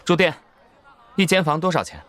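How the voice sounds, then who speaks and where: A man's voice: on the high side, animated, brisk, fairly bright tone, some chatter behind. A man playing a wary young cultivator who leads a group, in a Chinese audio drama. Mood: matter-of-fact. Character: wary young male cultivator, quietly troubled group leader.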